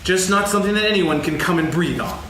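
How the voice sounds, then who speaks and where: A man playing a grumbling, self-pitying storybook donkey in a staged reading, lecturing pompously with emphatic pauses. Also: high-pitched, expressive, crowd noise. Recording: echoey stage, distant mic, faint noise.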